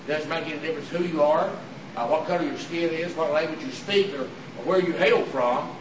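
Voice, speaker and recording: A hoarse, raspy-voiced man preaches an earnest Sunday sermon, halting often on "uh" as he works through the scriptures. His voice sits a little high for a man. He is caught from far off by a room mic in a church auditorium, with a roomy echo and clear background noise around him. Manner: earnest, halting delivery with many "uh" fillers. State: hoarse, raspy from throat trouble. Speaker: visiting preacher.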